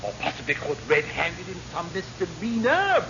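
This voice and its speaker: An actor playing an exasperated, officious senior naval officer who blusters and sputters in a vintage radio comedy. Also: male voice, high-pitched, huge pitch swings, normal speed, full character voice. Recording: dull, noisy old radio recording.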